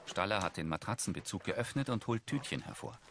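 A man's voice: on the low side, lively intonation, a little fast, noisy background. A man reading scripted audio description narration for a TV film.

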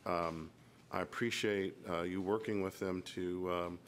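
Deep-voiced male chairman in a noisy room. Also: steady tone, a little slow. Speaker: board chairman. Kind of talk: informal chairing of a public meeting. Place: indoor meeting room.

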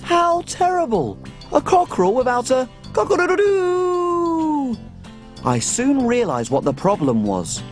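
A woman voicing a small cook who tells her farm adventure in lively, expressive storybook narration for children. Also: high-pitched, a little slow, music in the background, exaggerated voice. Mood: storytelling.

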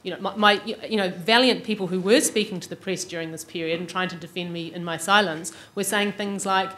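A woman's voice: on the low side, steady tone, brisk, fairly bright tone. A middle-aged woman with an Australasian accent answering questions as an academic speaker, thinking aloud and qualifying as she goes. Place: large university lecture room. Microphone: lectern microphone. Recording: clearly noisy lecture room recording.

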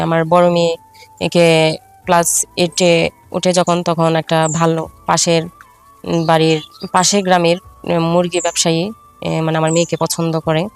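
A middle-aged woman with a Bangladeshi Bengali accent tells a first-person life story, halting and repeating herself with filler phrases. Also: on the low side, vocal fry, clean tone. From Faridpur, Bangladesh.

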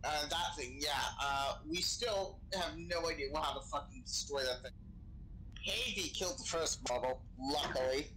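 A man with a slightly high, bright voice talks casually as he role-plays in an online game. His manner is loose and sweary. The voice chat carries some light noise. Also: moderate pace, low-quality recording. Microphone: game voice chat microphone.

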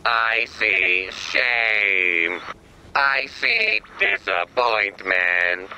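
Gravelly, slow, skittish little robot. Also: male voice, on the low side, very animated, sharp tone, slight background noise, full character voice. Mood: ashamed. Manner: halting, third-person, dramatic. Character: skittish little robot with old-time gangster bluster.

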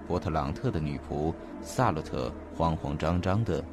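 A man acting in an audio drama as an earnest investigator, speaking in a formal, deliberate and detailed storytelling manner. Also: very deep voice, expressive. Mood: measured, grave. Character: earnest male investigator recounting a mysterious disappearance. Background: faint background music.

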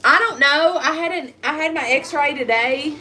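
A woman's voice: high-pitched, normal speed, sharp tone. A woman in her thirties with a head cold and a congested voice, chatting rambly as she cooks in her home kitchen. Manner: rambling, chatty, talks while chopping. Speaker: vlogger cooking at home. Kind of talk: casual cooking vlog chat. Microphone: phone camera set across the counter. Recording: distant phone mic with clear background noise.

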